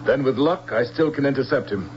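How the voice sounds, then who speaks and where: A domineering military commander in a radio play, acted by a man. He is curt and suspicious, with a medium-pitched male voice. The old broadcast is dull with faint noise. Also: a little fast, close to an everyday voice. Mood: brisk, commanding. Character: stern, overbearing male general. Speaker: actor in a radio drama. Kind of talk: 1970s radio drama acting. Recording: slightly noisy, band-limited old radio.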